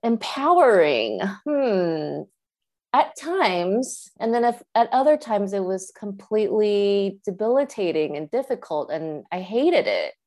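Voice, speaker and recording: A woman's voice: mid-range voice, big pitch swings, a little slow, a little mellow. A woman author from Taiwan, whose first language is Mandarin Chinese, answering interview questions thoughtfully, with fillers and restarts. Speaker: author guest.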